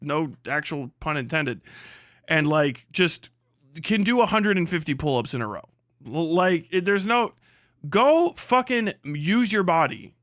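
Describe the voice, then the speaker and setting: A man's voice: on the high side, sing-song, a little slow, fairly bright tone, dry recording, studio quality. A man on a casual livestream rant, blunt and hectoring, swearing heavily as he speaks. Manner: mocks others in put-on voices. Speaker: livestream host.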